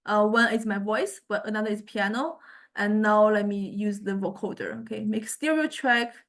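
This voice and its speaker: A woman lecturer giving an informal software demonstration, thinking aloud in a halting way as she goes. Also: medium pitch. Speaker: music lecturer.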